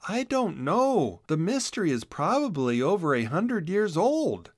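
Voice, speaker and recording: A man's voice: sits high, very animated, unhurried, no echo, studio quality, voice pushed up, heavily acted. A man voicing a thoughtful, earnest young girl rabbit in a children's storybook.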